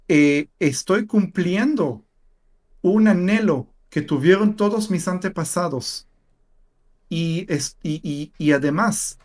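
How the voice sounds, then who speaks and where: A man from Israel whose first language is Hebrew, giving a personal testimony in an interview, speaking haltingly with many fillers and repeated words. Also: high-pitched, darkish tone. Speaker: interview guest.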